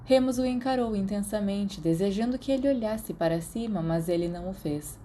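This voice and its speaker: A Brazilian woman narrates fiction from a script, like an audiobook. Her voice is soft-edged and mellow, sitting at a middle pitch. Faint noise runs behind the recording. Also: fairly quick. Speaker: podfic narrator.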